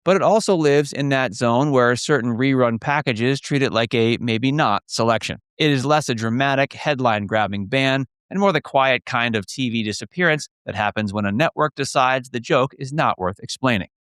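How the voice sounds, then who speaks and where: A man's voice: medium pitch, moderate pace, few pauses, a bit bright, clean tone, no room sound, studio quality. A man reading a scripted, documentary-style narration for a list video.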